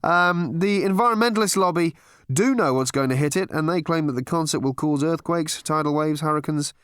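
A man's voice: on the high side, brisk, fairly bright tone, clean tone, no echo, clean studio sound, voice pushed up, lightly acted. A man voicing a breezy male concert reporter who chats cheerfully and laughs through his report. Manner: chirpy, chatty, laughing asides.

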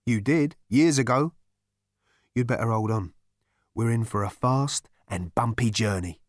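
A man's voice: mid-range voice, a little slow, no echo, studio quality, lightly acted. A man voicing a blunt, knowing, matter-of-fact man who talks tersely and emphatically, in an audiobook. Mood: grimly matter-of-fact. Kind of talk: audiobook character voice.

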